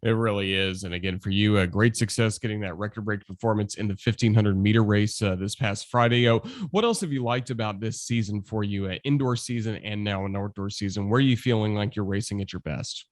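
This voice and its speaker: Fast-talking low-voiced host. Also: male voice, steady tone, dry recording, studio quality. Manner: smooth, long scripted questions. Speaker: sports radio host. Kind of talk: radio interview.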